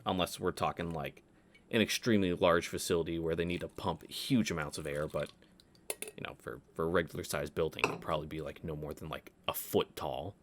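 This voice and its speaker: A man's voice: low voice, fairly even intonation, a little fast. A man reading a visual novel aloud as a let's-play host, breaking off into casual asides.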